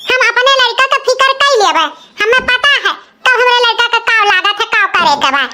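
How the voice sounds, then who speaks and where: A man's voice: very high voice, animated, fairly quick, noisy background. A man voicing a vain, jealous young village woman in a comic cartoon, with an Awadhi accent and a nagging, taunting manner. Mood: spiteful, scolding. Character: vain, jealous, scolding young village sister-in-law, a mother.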